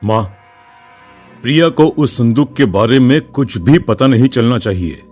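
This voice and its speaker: A man voicing a worried, protective middle-aged father in an animated story. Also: medium pitch, unhurried, faint music behind. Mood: stern, worried.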